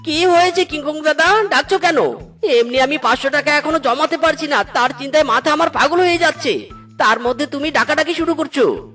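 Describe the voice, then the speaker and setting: A woman voicing a hapless, excitable young male fox in a cartoon, scheming and given to melodramatic wails and exclamations. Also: high voice, a little fast, faint music behind. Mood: irritated, brash. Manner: melodramatic wailing, catchphrase exclamations. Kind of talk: Bengali cartoon dubbing.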